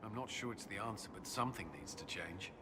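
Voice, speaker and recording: An actor playing an earnest, haunted military commander and former knight who is tender in love, in a video game cutscene. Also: male voice, on the low side, lively intonation, lightly acted. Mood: earnest, grave. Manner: halting and hesitant when speaking personally. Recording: long reverb, background noise.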